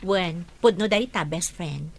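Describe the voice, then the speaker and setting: A woman's voice: fairly low pitch, a little slow. A woman playing an earnest, devout young woman. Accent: Ilocano. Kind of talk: hobby radio drama.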